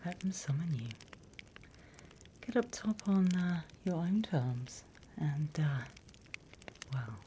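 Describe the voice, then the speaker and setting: A British woman playing a sly demon instructor in a whispered ASMR roleplay, unhurried, wry and smugly scornful of humans. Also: lowish voice, expressive, a little hiss or hum. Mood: sly, amused. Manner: unhurried, hushed, teacherly, wry.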